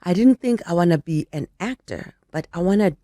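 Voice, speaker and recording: An older woman, a guest on a radio interview, telling her story in a measured, reflective way, a little croaky from a flu. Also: on the low side, lively intonation, warm tone, dry recording, studio quality. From South Africa. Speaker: actress and guest.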